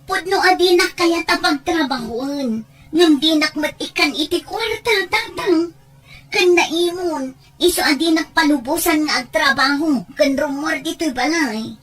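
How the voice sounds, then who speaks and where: A very high, girlish voice from a woman acting as an unhappy young wife in an audio drama. She sounds homesick and complaining, with a plaintive Filipino-accented delivery. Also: unhurried, poor audio. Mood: pleading.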